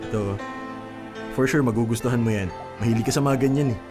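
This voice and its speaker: An actor playing an affectionate, teasing, easygoing young boyfriend in a radio drama. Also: male voice, mid-range voice, fast, close to an everyday voice. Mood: teasing, fond. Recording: music behind the voice.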